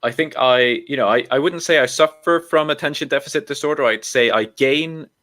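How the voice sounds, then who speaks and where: A man from Iceland talking as an interview guest, relaxed and rambling with frequent ums and self-corrections. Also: a little high, a little fast. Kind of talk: casual interview talk.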